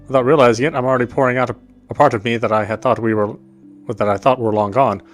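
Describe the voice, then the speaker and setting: A man's voice: medium pitch, steady tone, quick pace. Man reading game narration over faint music. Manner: stumbles and rereads phrases. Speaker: let's player reading game text aloud. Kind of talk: reading visual novel narration aloud.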